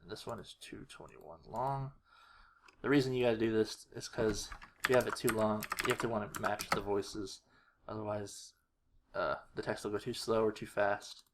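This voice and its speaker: A man thinking aloud through a casual screen-recorded tutorial, halting and self-deprecating, muttering to himself. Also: fairly low pitch, fairly even intonation. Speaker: video creator demonstrating editing. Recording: noisy home mic with keyboard clicks. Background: typing and mouse clicks.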